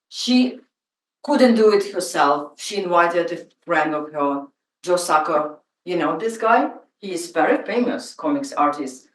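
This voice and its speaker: A woman with a Ukrainian accent, a native Russian speaker, giving an informal, halting and personal lecture as an artist. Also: on the low side. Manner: halting, personal, emotional at times. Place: lecture room. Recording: roomy, from far off.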